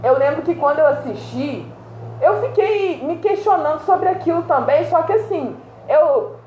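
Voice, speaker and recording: A young woman co-hosting a casual video chat, animated and laughing often as she talks. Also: high voice, moderate pace, a little hiss or hum. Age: young adult. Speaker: co-host. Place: indoor room against a plain wall. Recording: roomy echo.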